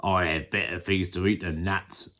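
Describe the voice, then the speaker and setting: Man as a sly, low-voiced greedy crocodile. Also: steady tone, normal speed, fairly bright tone. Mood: smug, greedy. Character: sly, greedy, gloating male enormous crocodile.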